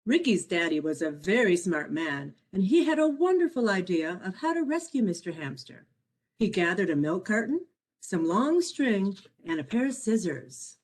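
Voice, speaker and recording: Female author-narrator for a picture book, medium-pitched, lively melodic range, measured read-aloud delivery. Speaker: author reading own children's picture book. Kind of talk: scripted storybook narration for children.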